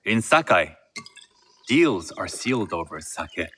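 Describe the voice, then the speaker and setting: An actor playing a shrewd, composed merchant heir in a game set in feudal Japan, speaking in a measured, formal way. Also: male voice, deep voice, very animated, a bit bright, a little hiss or hum, exaggerated voice. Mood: measured, businesslike. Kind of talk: video game cutscene acting.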